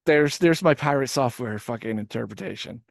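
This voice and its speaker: A man's voice: mid-range voice, moderate pace, vocal fry, dry recording. A male podcast host talks casually, rambling and restarting his sentences, swearing now and then. His voice sits mid-range. The recording is dry and close. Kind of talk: casual reaction chat.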